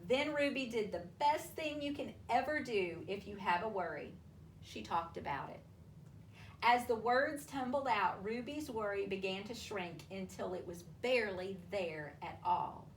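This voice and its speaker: A woman reading a children's storybook aloud to families, with expressive, deliberate delivery and drawn-out emphasis. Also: mid-range voice. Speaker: school superintendent reading a picture book aloud to families. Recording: roomy, distant mic with faint noise.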